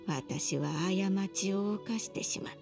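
A woman voicing a gentle elderly woman in an anime game, wistful, with old-fashioned grandmotherly phrasing. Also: fairly low pitch, slow pace, gravelly, loud music behind the voice, full character voice. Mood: remorseful.

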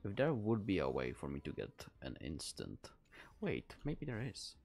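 A man's voice: low-pitched, wildly swooping pitch, moderate pace, creaky, darkish tone. A man from the Czech Republic with a Czech accent, giving casual live game commentary and thinking aloud as he plays. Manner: rambling, thinking aloud while playing. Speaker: game streamer. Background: game music.

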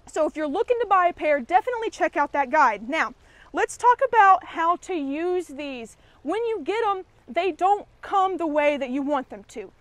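A woman's voice: very high voice, lively intonation, moderate pace, dry recording. A young woman, a conservation educator giving a friendly, practical outdoor how-to lesson straight to camera. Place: garden yard outdoors.

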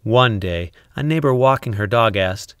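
A man reading a children's story aloud, expressively and deliberately with dramatic pauses. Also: lowish voice, expressive, clear, resonant tone, dry recording, clean studio sound. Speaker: storybook reader. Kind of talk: scripted children's story narration.

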